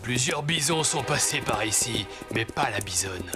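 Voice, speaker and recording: A man's voice: medium pitch, lively intonation, moderate pace, loud music behind the voice, natural voice. A man playing a wary, grumbling Sioux hunter in a French cartoon dub. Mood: smug. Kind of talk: French animated series dubbing.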